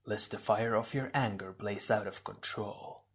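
A man voicing a sinister, taunting shadow double of a fire warrior in a slow, hissing, crackling whisper. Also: lowish voice, husky. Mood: mocking. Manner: slow, mocking, seductive menace.

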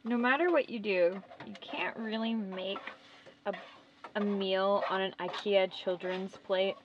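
A woman's voice: medium pitch, unhurried. A young woman chatting casually as a vlogger from behind the camera in a van. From Canada. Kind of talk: casual chat. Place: inside a small camper van. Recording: dull sound with background noise.

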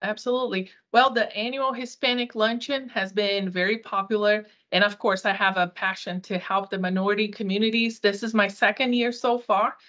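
A woman's voice: medium pitch, steady tone, moderate pace, hardly any pauses, a bit bright. A woman in her thirties whose first language is Portuguese, talking earnestly about her outreach work in a video-call interview. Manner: earnest, upbeat, some restarts. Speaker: community outreach coordinator. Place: small room.

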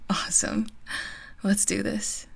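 A woman's voice: takes it slowly, close to an everyday voice. A woman playing a teasing, competitive gamer best friend in a casual, giggly ASMR roleplay. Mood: playful. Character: playful, competitive gamer girl, listener's close best friend. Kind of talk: ASMR roleplay, casual one-sided conversation.

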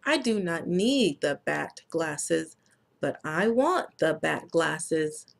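Lady reading as a simple earnest little boy, slow picture-book talk. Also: deep voice, animated, vocal fry, voice pushed down, heavily acted.

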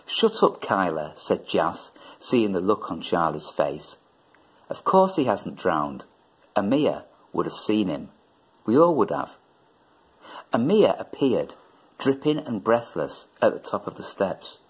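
A man's voice: low voice, very animated, takes it slowly, slightly rough, rough recording. A middle-aged man reading a children's story aloud expressively. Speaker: story reader. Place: indoors in a plain room.

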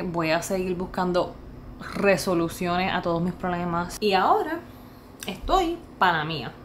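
Puerto rican woman vlogging to a phone cam, chatty, mixes english in, music under it. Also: mid-range voice. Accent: Puerto Rican Spanish. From Puerto Rico. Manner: chatty, expressive, switches into English mid-sentence. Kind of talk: casual vlog, Spanish mixed with English. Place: indoors at home and in a car. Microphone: camera at arm's length and dashboard camera.

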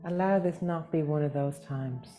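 Woman, low-pitched soft-toned voice, guided meditation, gentle and soothing, long pauses, music underneath. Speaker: yoga nidra teacher.

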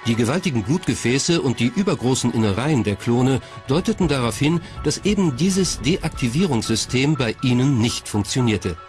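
A man's voice: mid-range voice, expressive, normal speed, hardly any pauses, a little mellow, soft background music. A man reading scripted documentary narration in the role of narrator.

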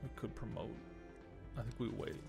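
A man's voice: on the low side, normal speed, creaky. A man in his thirties giving casual game commentary, thinking aloud and muttering to himself as he plays. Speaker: game streamer. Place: small room at home, indoors. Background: game music.

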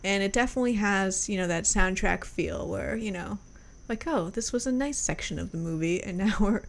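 A woman's voice: mid-range voice, normal speed, gravelly. A woman co-hosting a podcast, chatting casually about an album with lots of fillers and some swearing, on a webcam call from a room at home. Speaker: podcast co-host. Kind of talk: casual music review chat.

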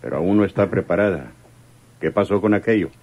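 Bossy sardonic gangster chief, lowish voice, old radio drama style. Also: male voice, brisk, dull audio. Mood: impatient, commanding. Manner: commanding, sardonic. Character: domineering, impatient male crime boss. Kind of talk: radionovela acting.

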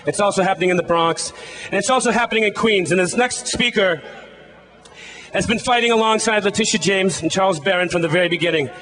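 Male MC for a protest stage, high and bright, introducing speakers. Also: quick pace, low-quality recording. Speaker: rally emcee. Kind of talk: rally announcing. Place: outdoor rally stage. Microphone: stand microphone.

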